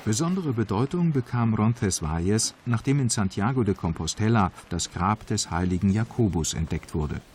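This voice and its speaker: A man reading scripted travel documentary narration in a calm, measured, informative way. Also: fairly low pitch, a little hiss or hum. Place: voice-over studio. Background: background music.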